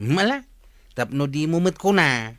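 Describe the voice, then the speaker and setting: Sharp, needling village skeptic. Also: male voice, fairly high pitch, lively intonation, moderate pace, dry recording, studio quality. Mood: skeptical, scolding. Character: skeptical, scolding village companion who mocks dreams. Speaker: radio drama actor. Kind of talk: Philippine radio comedy-drama acting.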